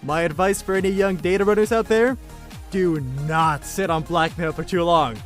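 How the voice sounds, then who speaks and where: A man's voice: high-pitched, normal speed, faint music behind, natural voice. A man playing a boastful hacker convict of about thirty in a sci-fi audio drama, cocky and showy. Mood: giddy, amused. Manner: showy, self-amused, cocky. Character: brash, boastful thirty-year-old male hacker convict.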